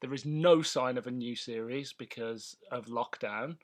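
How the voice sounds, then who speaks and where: A man's voice: medium pitch, a little slow. Chatty male podcast host. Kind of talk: casual banter between co-hosts.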